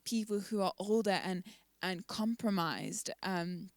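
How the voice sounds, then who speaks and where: Woman congregant, mid-pitched, sincere and measured, dry close sound. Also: no room sound. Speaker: church member. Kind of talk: panel discussion.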